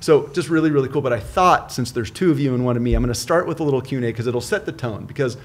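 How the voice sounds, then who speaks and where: Upbeat podcast host guy, chatty and jokey, mid-pitched voice. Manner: upbeat, chatty, quick to joke. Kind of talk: casual interview chat.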